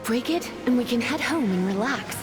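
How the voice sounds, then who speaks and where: Woman playing animated young knight, long reverb. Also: a little high, moderate pace, natural voice. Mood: teasing. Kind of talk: video game voice acting. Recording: long reverb, faint music underneath. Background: faint game music.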